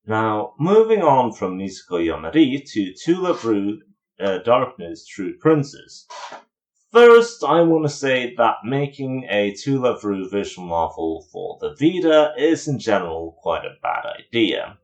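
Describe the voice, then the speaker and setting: A man's voice: mid-range voice, very animated, measured pace. A man giving casual review commentary as a video reviewer, with dry, sarcastic asides.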